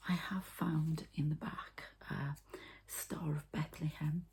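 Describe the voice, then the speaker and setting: A woman's voice: low-pitched, flat delivery, slow, darkish tone, husky. A woman whispering breathily in an ASMR style, explaining things informally step by step with some hesitation. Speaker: ASMR host and energy healer.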